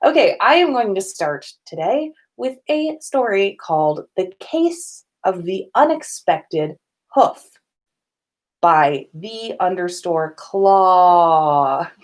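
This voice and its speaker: Woman reading stories aloud, slow and lively. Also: lowish voice. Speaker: podcast host reading listener stories. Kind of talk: livestream storytelling, informal read-aloud of fan fiction.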